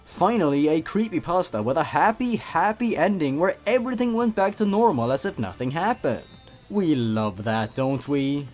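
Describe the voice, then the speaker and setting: Male host, lively swooping pitch, slightly high, theatrical and sarcastic commentary over music. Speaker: host reading and reviewing a horror story. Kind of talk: scripted commentary and dramatic story reading.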